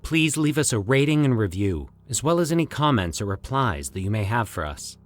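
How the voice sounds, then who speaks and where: A man's voice: medium pitch, big pitch swings, fairly quick, faint music behind. A man hosting a podcast and narrating a scripted horror short story.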